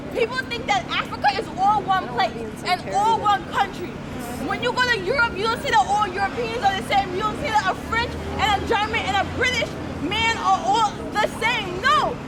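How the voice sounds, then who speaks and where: A young woman protester arguing in a heated street debate outdoors, assertive and lecturing. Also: very high-pitched. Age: young adult. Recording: loud crowd outdoors. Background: crowd and traffic.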